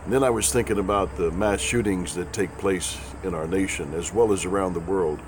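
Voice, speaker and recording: A very low-pitched man, raspy and congested from a head cold, talks in a folksy, rambling way with a sarcastic edge. A faint hiss of background noise sits under his voice. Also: fairly quick. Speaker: host. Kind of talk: casual spoken commentary.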